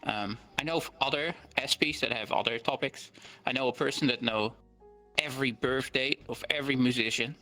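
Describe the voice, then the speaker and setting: A man whose first language is Dutch, a guest on an interview, explaining things earnestly with many pauses and ums. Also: medium pitch, pushed and bright, soft background music. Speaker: guest streamer. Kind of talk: interview conversation.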